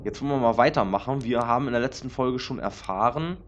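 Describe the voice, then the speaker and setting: A man hosting a let's play in casual, rambling commentary with many filler sounds, his voice slightly hoarse from a cold. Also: medium pitch, quick pace. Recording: dull lo-fi mic with faint noise.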